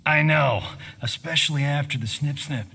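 An actor playing a cocky, flippant action-movie star and dad trading video game banter. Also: male voice, on the high side, moderate pace, vocal fry, bright, forceful tone, slightly rough, exaggerated voice. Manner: flippant, mocking delivery. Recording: hall-like reverb, game ambience noise.

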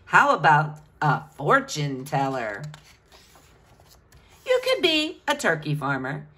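A woman's voice: deep voice, huge pitch swings, takes it slowly, sharp tone, slightly rough. A woman reading a picture book aloud to children, with a sing-song, questioning delivery.

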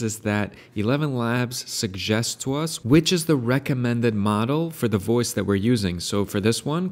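A man in his thirties hosting a software tutorial, upbeat and eager as he explains each step. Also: medium pitch. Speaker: tutorial host and AI consultant. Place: indoor room in front of a painted brick wall.

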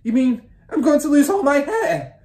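A woman voicing a vain, blustering father from a children's story, yelling in an indignant, flustered way. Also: high voice, big pitch swings, moderate pace, echoey room, voice pushed up. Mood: panicked wailing. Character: blustering, loud, panicky, vain father, a car salesman.

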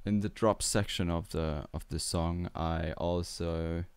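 A young man in his twenties from Austria with an Austrian German accent, walking through a music tutorial in a relaxed, hesitant way with many fillers, on a studio mic in a small home studio. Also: low-pitched, a little slow, clear, resonant tone, no echo. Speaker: music producer. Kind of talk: tutorial walkthrough. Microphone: studio mic on boom arm with headphones.

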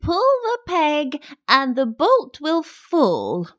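Old grandmother acted by a woman: frail, kindly, very slow storybook voice, swooping widely up high. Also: huge pitch swings, full character voice. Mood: frail, calling out.

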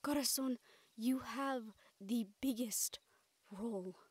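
A woman's voice: on the high side, slow pace, darkish tone, husky, no echo. A woman acting a caring, encouraging siren-like mentor in an intimate audio roleplay, with a tender, earnest manner. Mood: tender, reassuring.

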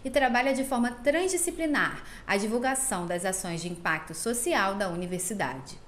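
A woman narrating a scripted voice-over in an even, formal way. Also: medium pitch, moderate pace, echoey room, a little hiss or hum.

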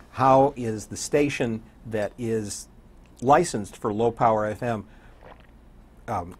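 An older man talking conversationally as an interview guest, a radio DJ with a husky voice. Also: fairly low pitch. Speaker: guest, radio program director and morning show DJ. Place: indoor TV talk show studio.

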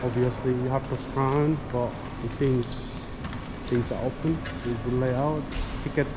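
A middle-aged man speaking casually and haltingly as a travel vlogger, thinking aloud as he goes. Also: medium pitch, fairly even intonation. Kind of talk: casual vlog commentary. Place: railway station hall and inside a train carriage. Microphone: action camera at arm's length. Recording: loud ambient station and train noise.

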